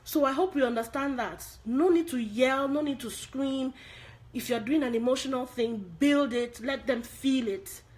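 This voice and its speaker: A woman's voice: high voice, moderate pace, darkish tone. A Nigerian woman giving an online acting lesson to viewers. She repeats key points for emphasis and asks rhetorical questions. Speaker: acting coach.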